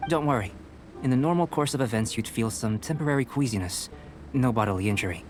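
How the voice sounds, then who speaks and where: A man voicing a calm, reserved young alchemist scholar in an anime game, speaking in a measured, precise way. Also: mid-range voice, slight background noise, natural voice. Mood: reassuring. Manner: measured, precise, composed, clinical.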